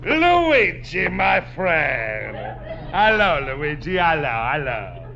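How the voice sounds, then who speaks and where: An actor playing a boisterous, scheming Italian immigrant, loud and bossy in broken English with an Italian accent, in a 1950s radio sitcom. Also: male voice, fairly high pitch, wildly swooping pitch, slow, vocal fry, music in the background, exaggerated voice. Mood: boisterous greeting. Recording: muffled, noisy old radio recording.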